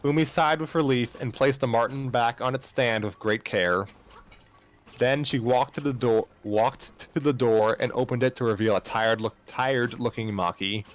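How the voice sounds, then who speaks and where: A man's voice: mid-range voice, moderate pace. A man narrating fan fiction aloud as an amateur reader, sometimes stumbling and rereading phrases. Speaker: story narrator.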